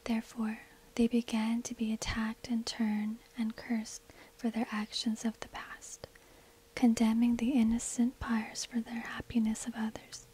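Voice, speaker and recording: Asmr lady whispering real slow and flat, super breathy, close on the mic. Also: on the high side, rough recording. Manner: slow, deliberate, hushed delivery. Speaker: ASMR video host and shopkeeper. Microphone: close binaural microphone.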